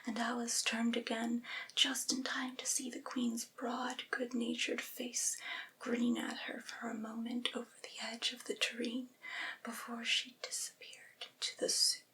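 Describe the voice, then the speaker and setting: A woman reading literary prose aloud in a breathy, unvoiced ASMR whisper, with measured, deliberate delivery. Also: fairly high pitch, monotone, pushed and bright, low-quality recording.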